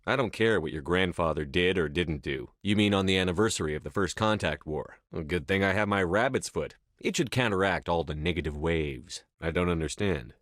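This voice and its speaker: A man acting a stern, steady space-navy commander in a video game, with a measured, dry, authoritative delivery. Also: low-pitched, no echo. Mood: grave, determined.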